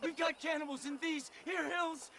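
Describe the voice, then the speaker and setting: A man's voice: very high-pitched, steady tone, normal speed, creaky, pushed and bright, heavily acted. An actor playing a terrified city man in a western video game, panicked and begging for help. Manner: panicked, pleading, melodramatic.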